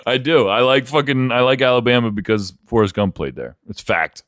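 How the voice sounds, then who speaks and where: A man's voice: mid-range voice, a little fast, fairly bright tone, no echo, clean studio sound. A man co-hosting a casual sports chat, speaking bluntly and opinionatedly with plenty of swearing. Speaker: sports podcast co-host.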